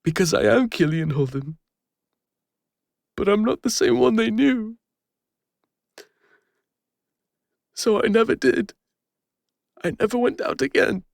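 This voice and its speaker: A man plays a guilt-ridden fantasy adventurer with an English accent and a slightly high, gravelly voice. He speaks in a halting, confessional way, wistful and exposed. The sound is dry and close, like an intimate audio roleplay. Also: dry recording. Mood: anguished, ashamed. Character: Killian, guilt-ridden retired male adventurer, vulnerable, lonely, wistful.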